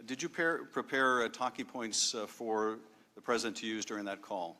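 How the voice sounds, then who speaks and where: A man's voice: medium pitch, normal speed, bright, forceful tone. Man questioning formally in a reverberant room. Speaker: lawmaker questioning a witness at a hearing.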